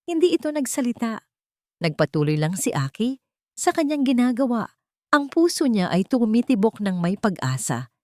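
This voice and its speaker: A woman with a Filipino accent reading a scripted story in a measured, sentimental, dramatic way. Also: lowish voice, a little mellow, dry recording, clean studio sound. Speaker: storyteller, narrator.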